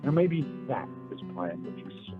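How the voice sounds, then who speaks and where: A man's voice: mid-range voice, very animated, a little fast, natural voice. A man acting an earnest, hesitant young New York suitor in a radio play, in muffled audio. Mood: solemn. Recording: muffled lo-fi audio with music behind.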